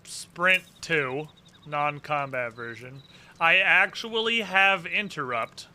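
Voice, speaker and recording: A man's voice: on the high side, animated, takes it slowly, pushed and bright. A man giving casual live commentary as he plays a game, muttering to himself and swearing freely. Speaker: game streamer.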